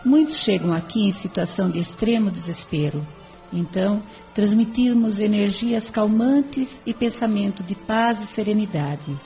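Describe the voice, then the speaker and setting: Woman as a kindly, mid-pitched spirit helper. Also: animated, normal speed, natural voice. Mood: earnest. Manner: didactic, formal, kindly. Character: serene, devoted female spirit helper explaining her charity. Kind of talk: audio novela dialogue. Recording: dull sound with faint music.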